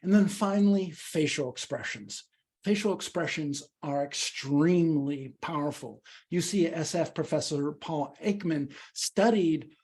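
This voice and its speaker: A man leading a public speaking workshop, teaching in an animated, emphatic, conversational way with a slightly husky voice. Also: on the high side. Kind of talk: instructional talk with questions and answers.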